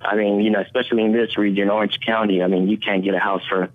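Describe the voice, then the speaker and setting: A man whose first language is Spanish calls into a radio show over a tinny phone line. His voice is low, and he speaks haltingly, leaning on "you know" and "I mean" between phrases. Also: flat delivery. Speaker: mortgage loan officer guest. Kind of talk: casual radio interview.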